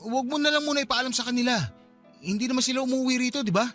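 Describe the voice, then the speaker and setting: A man's voice: extremely high pitch, rapid-fire, fairly bright tone. An actor playing an agitated, evasive young man who is an unwilling father, defensive in a Tagalog radio drama. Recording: music bed behind the voice.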